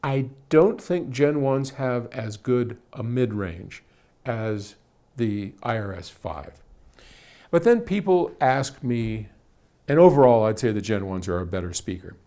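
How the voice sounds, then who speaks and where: A man's voice: medium pitch, lively intonation, unhurried, warm tone. An older American man talking casually as a host answering viewer questions, explaining technical detail step by step in a conversational way.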